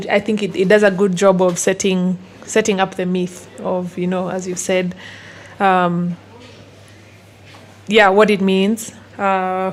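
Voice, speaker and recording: A woman giving thoughtful feedback in a casual discussion, haltingly picking her words. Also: medium pitch. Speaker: workshop participant giving feedback.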